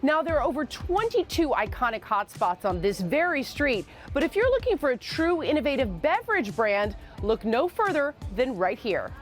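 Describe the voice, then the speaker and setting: Bubbly high-voiced woman TV host. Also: sing-song, normal speed, hardly any pauses. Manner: bubbly, playful, laughs a lot. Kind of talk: lively TV lifestyle hosting. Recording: loud music behind.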